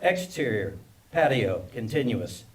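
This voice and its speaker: Mid-pitched man narrating a screenplay. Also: sing-song, a little slow. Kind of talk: scripted narration of stage directions at a table read.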